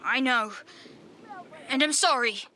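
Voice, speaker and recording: Very bright, high-voiced young boy. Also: slow, slight background noise, full character voice, rough recording. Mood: sheepish. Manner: exasperated, petulant. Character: whiny, grumbling young boy at sea. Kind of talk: audio drama acting.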